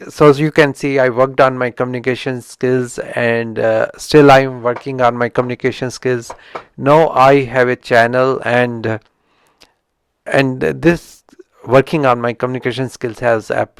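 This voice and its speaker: A man's voice: medium pitch, clear, resonant tone, no room sound. A middle-aged man from India with an Indian accent, who learned English as a second language, hosts an unscripted book review and often restarts his sentences. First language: not English. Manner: halting, with frequent restarts and self-corrections. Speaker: channel host reviewing a book.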